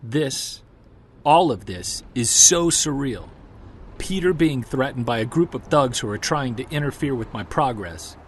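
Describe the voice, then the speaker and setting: A man's voice: medium pitch, animated, measured pace, breathy edge. A man playing a stubborn, determined amateur investigator in an audio drama, speaking in a casual, earnest, conversational way. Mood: troubled, resolute. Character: determined, stubborn male amateur investigator chasing a creature mystery. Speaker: investigator and narrator. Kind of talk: audio drama dialogue and reflective spoken journal.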